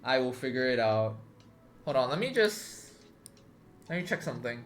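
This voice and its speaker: A man's voice: medium pitch, sing-song, moderate pace. A young man chatting casually on a live stream, rambling with many fillers and swearing freely. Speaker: livestreamer.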